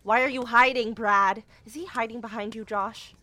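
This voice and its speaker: A woman's voice: fairly high pitch, fairly even intonation, normal speed, sharp tone, dry recording. A woman playing an earnest, easily flustered young witch in an audio drama, speaking with emphatic, exasperated urgency. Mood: indignant, baffled.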